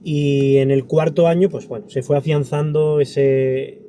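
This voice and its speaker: A man's voice: on the high side, steady tone, a little slow, dark tone, a little hiss or hum. A man from Andalusia with a Spanish accent from Spain, answering as a pastor in an interview in an earnest, reflective way.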